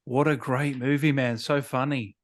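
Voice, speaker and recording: A man hosting a casual interview in an informal, chummy way, quick to agree with his guest. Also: medium pitch, steady tone. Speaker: host, interviewer.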